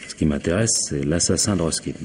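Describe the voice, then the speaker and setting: An actor playing a sardonic, dogged police inspector in a French radio mystery, prodding with irony and drawn-out interjections. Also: male voice, low-pitched, a little mellow, a little hiss or hum, natural voice. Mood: soft, insistent. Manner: ironic, prodding, drawling interjections. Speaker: actor in a radio crime drama.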